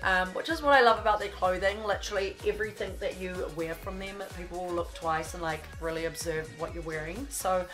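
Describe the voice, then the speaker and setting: A woman's voice: lowish voice, a little fast, hardly any pauses, over music. A young woman, blocked up from a cold, gushing casually through a clothing haul in a small bedroom studio. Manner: chatty, gushing, lots of "like". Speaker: fashion vlogger.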